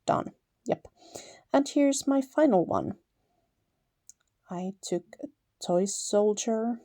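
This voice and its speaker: A woman's voice: fairly low pitch, no room sound, studio quality. A woman from Finland with a Finnish accent, chatting casually through a paper-crafting tutorial, correcting herself now and then. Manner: relaxed, chatty, laughs lightly, self-correcting. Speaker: paper crafter, channel host.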